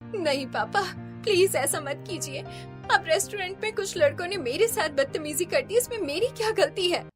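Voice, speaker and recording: A woman's voice: very high voice, animated, moderate pace, over music, pitched above the performer's own voice. A woman voicing a determined, ambitious young woman in a cartoon, earnest and often protesting. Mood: pleading.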